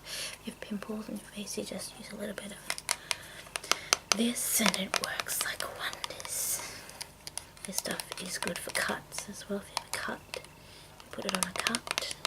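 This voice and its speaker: A woman speaking in a hushed, breathy whisper, casually rambling through products in an unhurried ASMR style. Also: medium pitch, rough recording. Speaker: ASMR video maker.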